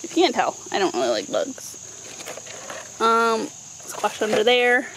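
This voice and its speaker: Higher-voiced woman, noisy outdoor vlog. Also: sing-song, unhurried, a bit bright. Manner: informal, playful asides. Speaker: vlogger giving a home garden tour. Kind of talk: casual chatty vlog narration. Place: outdoors in a backyard vegetable garden. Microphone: handheld camera microphone. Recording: outdoor handheld camera, noticeable ambient noise.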